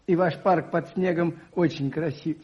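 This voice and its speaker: An actor playing a mild, genial, quaintly humorous man in a radio drama, gentle and hesitant. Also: a little high, steady tone, moderate pace, breathy edge. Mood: gentle, amused. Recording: dull early-1980s radio play recording.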